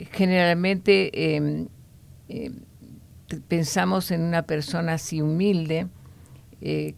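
Low, gravelly woman teaching the Bible. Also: darkish tone. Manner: explanatory, often ending points with a questioning "no?"; unhurried, with frequent hesitation fillers.

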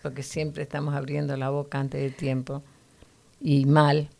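Low, gravelly-voiced woman teaching the Bible. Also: little pitch movement, measured pace, darkish tone. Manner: earnest, teacherly, frequent "¿no?" tags.

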